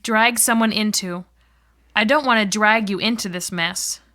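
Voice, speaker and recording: A woman reciting phrases for a language lesson with careful, clear enunciation. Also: medium pitch, measured pace, bright, forceful tone. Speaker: phrase reader.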